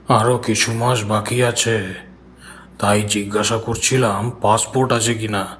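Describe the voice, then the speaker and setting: A man acting a genial, authoritative senior newspaper boss in an audio drama, measured and deliberate. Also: lowish voice, fairly even intonation, a bit bright, slight background noise. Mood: amused, indulgent.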